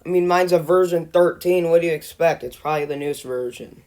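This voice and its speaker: A man's voice: a little high, quick pace, a little mellow. A man giving casual, off-the-cuff commentary for his own video in an informal, offhand way. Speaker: amateur video maker.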